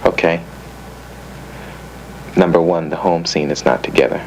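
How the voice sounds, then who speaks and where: A man's voice: sits low, steady tone, measured pace. A man playing a streetwise young former crack addict, giving an emphatic, colloquial confession in an educational film. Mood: candid. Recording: noisy old educational film soundtrack.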